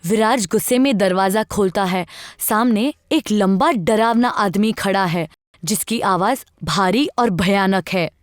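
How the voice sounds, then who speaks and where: A woman's voice: mid-range voice, a little slow, no room sound, studio quality. A woman reading scripted narration for a horror story as the storyteller.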